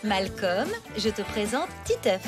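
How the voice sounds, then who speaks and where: A woman playing a kindly, patient mother in an animated series, coaxing and instructive. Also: mid-range voice, unhurried, music in the background. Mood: welcoming.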